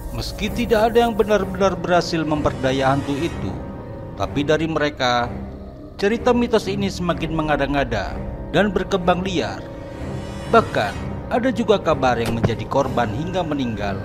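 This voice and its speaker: A man's voice: fairly high pitch, very animated, normal speed. A man narrating a horror cartoon in a scripted, dramatic storytelling manner. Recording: narration over background music.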